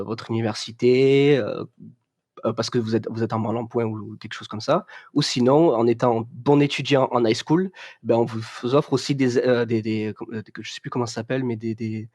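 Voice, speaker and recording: A young man from France talks as a guest on a chat stream. His voice sits at an ordinary middle pitch, and he speaks haltingly, with many fillers and restarts as he explains. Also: darkish tone. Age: young adult. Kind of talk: casual chat.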